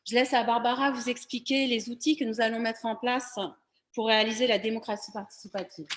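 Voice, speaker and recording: A woman with a fairly high voice speaks as a candidate in a local election. She delivers a prepared presentation, setting things out formally for a public meeting. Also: fairly even intonation, brisk.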